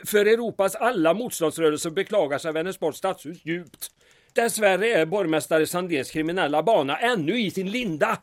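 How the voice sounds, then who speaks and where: Man acting a formal, high-voiced small-town mayor. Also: dry recording, clean studio sound, lightly acted. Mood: pompously ironic. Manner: formal, wordy, drily ironic. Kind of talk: radio drama dialogue.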